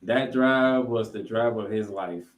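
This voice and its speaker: A man co-hosting a sports podcast, recapping football and reading stats with emphatic, drawn-out key figures. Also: on the low side, a crowd in the background. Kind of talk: football recap commentary with stat read-outs. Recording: echoey, roomy sound.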